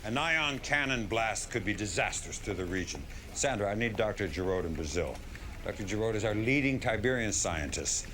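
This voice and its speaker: Casting: gruff general, low voice, blunt and stern, military game drama, noisy bed. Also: male voice, animated, normal speed, fairly bright tone. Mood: exasperated, stern. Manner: stern, clipped, weighty. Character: gruff, blunt, principled male army general. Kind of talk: dramatic video game cutscene acting.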